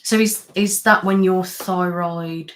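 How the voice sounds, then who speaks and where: A woman in her thirties hosts a relaxed live stream, talking in a loose, rambling way. She reads out viewers' comments and answers them as she goes. She sits close to the mic. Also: on the low side, slow. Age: 30s. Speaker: ASMR channel host. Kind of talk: casual live-stream chat.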